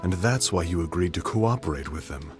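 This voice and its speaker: A cool, probing young nobleman who questions in a deep, precise, formal voice. Game music plays clearly behind him. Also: expressive, brisk. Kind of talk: game cutscene voice acting. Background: game soundtrack music.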